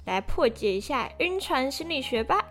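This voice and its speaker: A woman's voice: sits high, sing-song, normal speed, a bit bright. A young woman co-hosting a podcast in a casual, chatty style. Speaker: podcast co-host.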